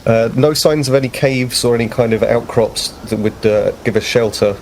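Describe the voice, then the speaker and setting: A man's voice: medium pitch, moderate pace, a little mellow. A man speaking casually as a player in a tabletop roleplaying game. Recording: clear background noise.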